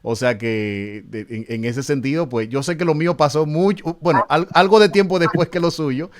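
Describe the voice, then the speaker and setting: A man's voice: fairly high pitch, moderate pace. A man, a pastor hosting an interview, asking questions courteously and often restarting and repeating words. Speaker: pastor and host.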